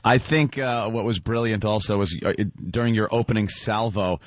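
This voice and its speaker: Informal American radio host, dry dull sound. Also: male voice, fairly low pitch, steady tone, moderate pace, dry recording. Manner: informal, agreeable, joking. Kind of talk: casual talk radio interview.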